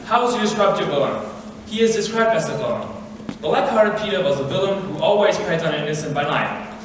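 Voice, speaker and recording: A teenage male student playing an earnest, candid scholarly man in a stage drama, speaking in a deliberate, instructive way. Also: high voice, lively intonation. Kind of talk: high school stage drama. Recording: echoey theater, distant mic, noisy.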